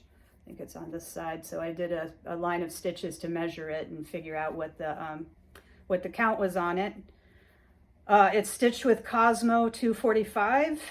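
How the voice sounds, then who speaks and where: A woman whose first language is Hungarian, casually showing her stitching projects in a rambling chat full of fillers and restarts. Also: lowish voice. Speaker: cross-stitch vlogger. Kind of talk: casual show-and-tell chat.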